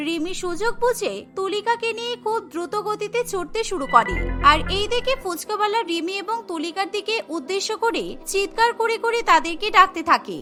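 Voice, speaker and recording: A woman narrates a children's cartoon story from a script. Her voice is extremely high and bright, set over faint music.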